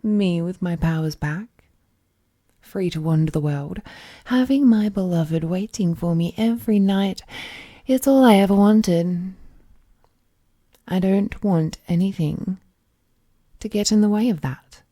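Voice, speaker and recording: Woman performing as sweetly menacing godlike female captor, soft dark tone, languid pace, whispery, condescendingly affectionate, intimate audio roleplay. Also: medium pitch, a little slow, pauses often, close to an everyday voice. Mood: doting, uneasy. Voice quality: breathy, often dropping to a whisper. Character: ruthless, possessive, all-powerful female being, sweetly menacing captor.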